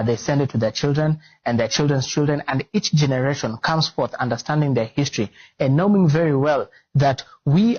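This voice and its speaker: A man answers as an interview guest, speaking earnestly with many pauses and fillers. His voice is husky and mid-pitched. The recording sounds dull and lo-fi. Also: darkish tone. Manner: earnest, explanatory, with frequent fillers.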